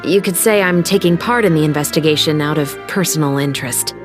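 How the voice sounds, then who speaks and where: A woman playing a composed, principled young musketeer officer in a video game, speaking in a measured, formal, earnest way. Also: low-pitched, lightly acted. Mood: earnest, serious. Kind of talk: dramatic game voice acting. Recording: music behind the voice.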